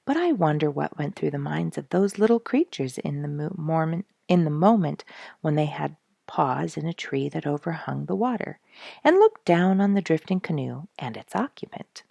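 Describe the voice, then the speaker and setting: Low-voiced woman reading a children's story. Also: animated, moderate pace, gravelly, warm tone, no echo. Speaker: storyteller reading a book chapter aloud. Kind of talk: expressive children's audiobook reading.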